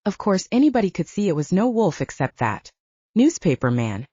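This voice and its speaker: A woman's voice: fairly low pitch, sing-song, moderate pace, dark tone, slightly rough, no room sound. A woman voicing a grandiose, effusive male scientist and gourmet in an audiobook, with a pompous, oratorical manner. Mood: apologetic, chatty. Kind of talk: audiobook character voice. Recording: dull, muffled dry audio.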